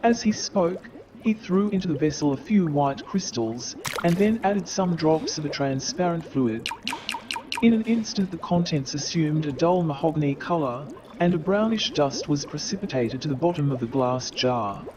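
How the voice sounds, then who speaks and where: A man's voice: fairly high pitch, animated, moderate pace, dull audio. A man narrating a staged radio play, reading scripted story passages between the characters' lines. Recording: crowd and watery sounds behind.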